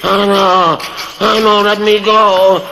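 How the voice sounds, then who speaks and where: A hapless victim, heavily reverberant. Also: male voice, sits high, flat delivery, vocal fry, heavily acted. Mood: terrified pleading. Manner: halting, groaning, stammering. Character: hapless, complaining male victim of a vengeful friend. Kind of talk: old-time radio horror acting. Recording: reverberant, faintly noisy vintage radio recording.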